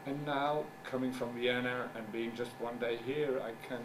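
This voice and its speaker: A middle-aged man with a German first language gives a conference presentation, halting and searching for his English words. His voice sits at an ordinary middle pitch. It is picked up from a distance, with steady noise in the background. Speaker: journal editor giving a talk. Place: conference room.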